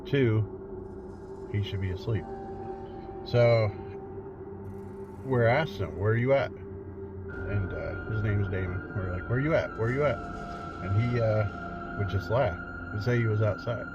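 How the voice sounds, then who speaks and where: Middle-aged man storytelling over loud music. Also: fairly low pitch, a little slow, pauses often. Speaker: paranormal investigator telling his own story. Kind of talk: casual spooky storytelling. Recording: dull lo-fi sound under loud music.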